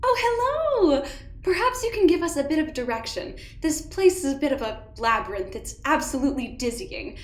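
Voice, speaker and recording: A woman's voice: high-pitched, animated, normal speed. A woman playing an airy, imperious, genteel lady in an audio drama, mannered and teasingly condescending. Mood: bright, charming. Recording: roomy echoing sound.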